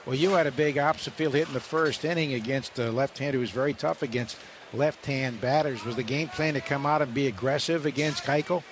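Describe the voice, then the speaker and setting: A man's voice: medium pitch, brisk. A man working as a radio sports announcer, putting questions to a player in a post-game interview. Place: ballpark. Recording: faint ballpark noise.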